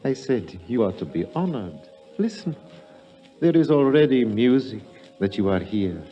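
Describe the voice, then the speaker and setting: An actor playing a calm, formal older temple priest in a 1950s radio drama, speaking in a measured, courteous way. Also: male voice, mid-range voice, natural voice. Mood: ceremonious. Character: elder priest of an Indian temple, calm, formal, enigmatic man. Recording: dull vintage 1950s radio recording, faint music.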